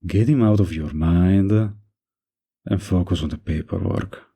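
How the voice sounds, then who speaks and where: Male actor as mafia crime boss, husky, crackly low end, unhurried, intimate, flirtatious, quietly dangerous but kind, roleplay audio. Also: exaggerated voice. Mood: firm, reassuring.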